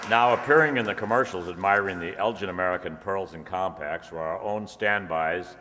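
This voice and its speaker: A man's voice: low-pitched, brisk, crowd noise. A man announcing the credits of a live stage show as its master of ceremonies. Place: live hall before an audience. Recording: echoey hall with noisy audience. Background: audience noise.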